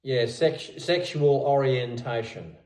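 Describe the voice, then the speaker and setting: A man's voice: medium pitch, takes it slowly, darkish tone. A man tutoring English in a casual chat, patient, often repeating and restarting his words. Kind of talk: casual one-on-one language lesson. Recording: roomy, distant from the mic.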